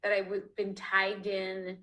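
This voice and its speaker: A woman from Canada talks casually about her work over a video call. Her voice is bright and forceful, set at a middle pitch. She laughs often and restarts her sentences as she goes. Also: completely flat delivery, unhurried, low-quality recording. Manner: chatty, laughs often, many fillers and restarts. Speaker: author being interviewed. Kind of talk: casual conversational interview. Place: home, online video call.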